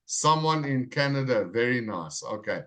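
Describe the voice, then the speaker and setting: A man teaching a live online English class over a video call, greeting students and repeating their names slowly and clearly. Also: medium pitch, lively intonation, pushed and bright.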